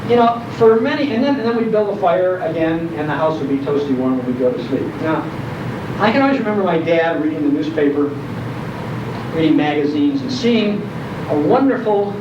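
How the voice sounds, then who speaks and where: A distant, dull recording in an echoey room carries an older man with a Chicago accent and a fairly high voice. He reminisces in a folksy, descriptive way, leaning on emphatic pauses as he addresses his listeners. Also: expressive, background noise. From Chicago area, United States. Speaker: guest speaker, nostalgia storyteller. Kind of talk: informal reminiscing talk to a live audience. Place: indoor program venue, recorded from a distance.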